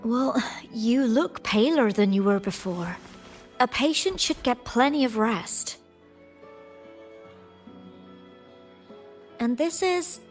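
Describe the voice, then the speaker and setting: An actress playing a kind young graduate student in a video game, gently teasing and earnest, with slight hesitation. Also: female voice, a little high, animated, a little slow, faint music behind. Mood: concerned, curious. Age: young adult.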